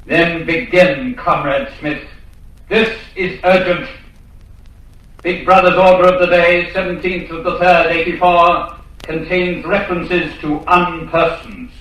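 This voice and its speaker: A man's voice: on the high side, steady tone, takes it slowly, a bit bright, far from the mic, highs cut off. A man playing a cold totalitarian overseer on a telescreen in a radio drama, commanding and clipped, heavily echoing. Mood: stern interrogation.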